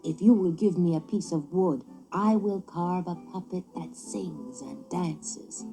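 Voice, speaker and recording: A woman's voice: on the low side, unhurried, over music. A woman voicing an easily offended, scolding old male woodcarver in a children's storybook, indignant and emphatic. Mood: eager. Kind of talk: children's audio storybook character voice.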